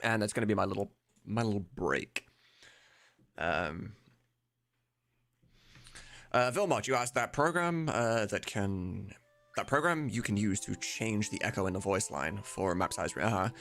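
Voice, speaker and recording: Low-voiced, animated male streamer. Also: unhurried, long pauses. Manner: informal, sweary, rambling. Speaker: live streamer and game modder. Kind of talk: casual live stream chat.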